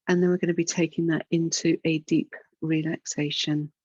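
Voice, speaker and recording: Female yoga nidra guide, lowish pitch, soothing and unhurried, very dry room sound. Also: flat delivery, slightly rough, no echo, muffled recording. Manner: soothing, unhurried, gentle guidance with pauses. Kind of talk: guided relaxation and breathing instruction.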